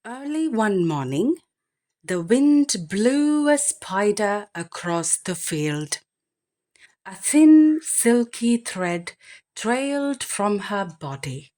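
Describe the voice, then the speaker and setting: A woman reading a children's picture book aloud in an expressive, deliberate bedtime storytelling manner. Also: mid-range voice. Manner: expressive, deliberate phrasing with animal sound imitations.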